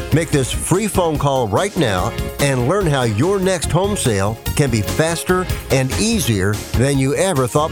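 A man reading a scripted radio ad in a salesy, upbeat pitch. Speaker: ad announcer.

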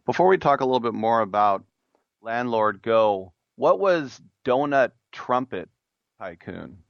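A man's voice: mid-range voice, animated, measured pace, gravelly, no room sound, dull audio. A man interviewing a guest, asking questions in an easygoing, chatty way.

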